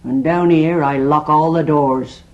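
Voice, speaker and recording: An actress playing a stern, suspicious country innkeeper, blunt and formal, in a farce. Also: female voice, low voice, fairly even intonation, unhurried, highs cut off. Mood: strict, suspicious. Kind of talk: theatrical farce acting.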